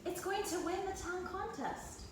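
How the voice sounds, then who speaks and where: A woman's voice: very high voice, measured pace, pitched above the performer's own voice. Lady doing competitive little field mouse boy from a kids book, echoey and noisy. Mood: confident, competitive. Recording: echoey room, distant mic, clear background noise.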